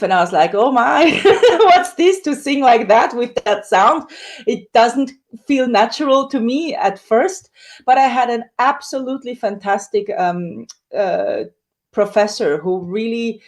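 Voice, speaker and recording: A woman, a soprano singer, telling her story in a casual interview with lively acted-out quotes. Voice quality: high dramatic coloratura soprano voice.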